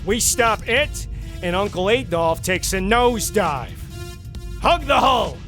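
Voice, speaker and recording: A man's voice: high-pitched, expressive, slow pace. A man playing a bold, gung-ho American squadron leader in a motion comic, slangy and commanding, with an American accent. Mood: rousing, urgent. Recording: loud music behind.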